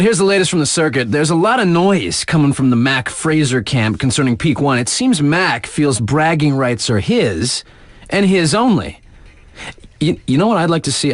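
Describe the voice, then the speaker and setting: A man's voice: mid-range voice, dry recording, clean studio sound. A man playing a cool, cheeky young radio DJ in a video game, delivering conversational sports-circuit patter with laid-back hype and teasing asides. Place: dry studio booth.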